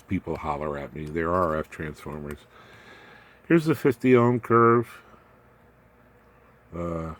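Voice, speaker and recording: A man with a deep, low voice walks through electronics as a hobbyist presenter. His delivery is informal, folksy and plain-spoken, wandering a little as he shows each piece. Some background noise and room ambience sit under the voice. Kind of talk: informal hands-on technical demonstration.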